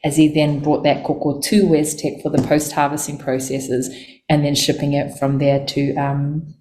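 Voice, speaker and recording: A woman in her forties with a low voice talks through an interview over a video call. She grew up between Samoa and New Zealand and speaks in a relaxed, reflective way, pausing often with soft fillers. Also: noisy background. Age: 40s. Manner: relaxed, thoughtful, many ums. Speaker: chocolate maker guest. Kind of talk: casual interview talk.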